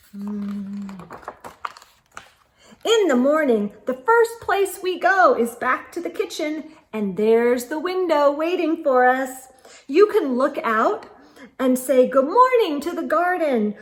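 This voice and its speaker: Storyteller, young woman: slow, animated picture-book reading, slightly high voice, gentle asides for small children. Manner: gentle, expressive read-aloud with soft asides.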